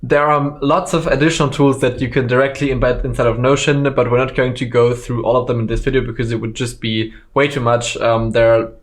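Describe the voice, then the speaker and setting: A native German speaker talks through a screen-recorded software lesson as a course instructor. His voice sits at a middle pitch. He explains steps in a relaxed way, with frequent ums and uhs. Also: fairly quick, few pauses.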